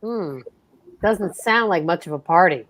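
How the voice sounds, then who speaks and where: Bumbling good-natured husband played by a woman, stammers, lively pitch. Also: a little slow. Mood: easygoing. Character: easygoing bumbling husband George. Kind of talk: amateur old-time radio acting.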